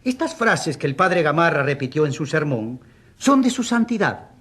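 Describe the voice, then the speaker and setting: An actor playing a wry, mock-polite defence advocate who argues emphatically in a courtroom radio drama. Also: male voice, on the high side, big pitch swings, moderate pace, fairly bright tone, breathy edge, exaggerated voice.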